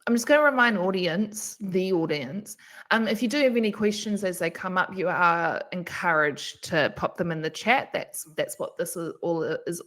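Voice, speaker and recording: A woman's voice: lowish voice, normal speed, fairly bright tone. A woman with a New Zealand accent hosting a live question-and-answer webinar, chatty and friendly. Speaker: webinar host and moderator.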